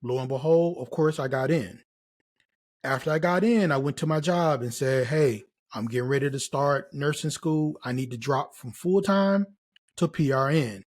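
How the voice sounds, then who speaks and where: A man's voice: mid-range voice, moderate pace. A middle-aged American man speaking casually and encouragingly as a host and mentor, emphatic and given to repeating key phrases. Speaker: host, nursing career mentor. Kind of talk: casual motivational advice to camera.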